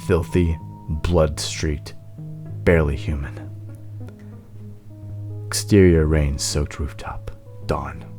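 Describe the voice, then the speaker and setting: A man's voice: extremely low pitch, steady tone, slow pace, pauses often, dark tone, soft background music. A man reading dramatic scripted narration as the narrator of a screenplay table read.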